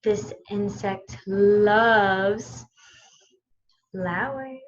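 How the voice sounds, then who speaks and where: A woman's voice: medium pitch, very slow, lo-fi sound. A woman teacher leading a children's story time, speaking in simple phrases with questions and prompts for young listeners.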